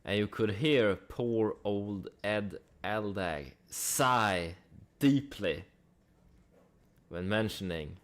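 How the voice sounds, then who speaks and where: A man with a fairly low voice talks very slowly, as a host giving casual commentary. His delivery is conversational, leaning on rhetorical questions and dry asides. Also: a bit bright. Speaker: host of a stock analysis channel.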